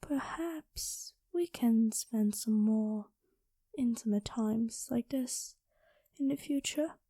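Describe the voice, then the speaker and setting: A woman voicing a gentle, lovestruck dragon queen in an ASMR roleplay, speaking softly and intimately with formal courtly phrasing, often slipping into whispers, close on the microphone. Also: a little high, slow, no room sound. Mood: intimate, flirtatious. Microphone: close microphone, dry sound.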